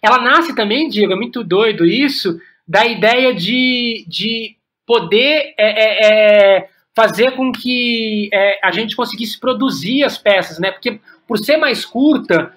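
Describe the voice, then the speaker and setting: Brazilian man, interview guest, reflective and halting delivery with frequent repeated words. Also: high voice, a bit bright. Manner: halting, repeats words while thinking aloud. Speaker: guest playwright and director.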